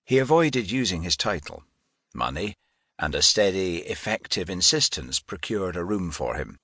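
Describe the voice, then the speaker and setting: A man's voice: sits low, big pitch swings, moderate pace, pushed and bright, no echo, clean studio sound. A man reading historical fiction as a scripted literary audiobook narration.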